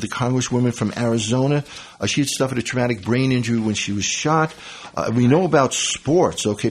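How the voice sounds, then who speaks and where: A male radio talk show host with a slightly husky voice at an ordinary pitch. He rambles, pausing on fillers and restarting sentences as he talks health topics with his guests. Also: darkish tone. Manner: rambling, halting, with many fillers.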